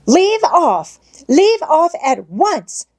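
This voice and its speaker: A strict housekeeper in a storybook, acted out by a woman reader. Her voice jumps high and shrill as she commands and scolds. She sounds easily horrified and thoroughly severe. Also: very animated, extremely slow, a little mellow, exaggerated voice. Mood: shrieking panic. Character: strict, easily horrified middle-aged housekeeper, shrieking orders.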